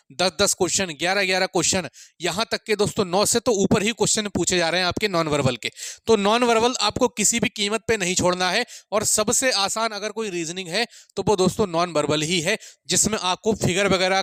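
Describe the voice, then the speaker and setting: A man's voice: sits high, a bit bright, no room sound. A man giving an informal exam-prep lecture, repeating his points and often checking in with students in a friendly way.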